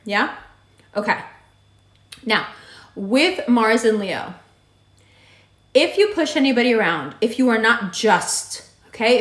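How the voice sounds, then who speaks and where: A woman with a raspy voice giving a monthly horoscope reading, direct and emphatic, repeating her advice. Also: medium pitch, fairly bright tone. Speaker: astrology reader. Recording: echoey room.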